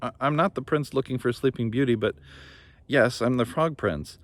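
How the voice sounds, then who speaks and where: A man's voice: mid-range voice, normal speed, lightly acted. A man voicing an anxious, polite, stammering fairy tale prince. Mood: anxious, eager. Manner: hesitant, stammering. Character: anxious, polite, flustered Frog Prince seeking help. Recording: noticeable background noise.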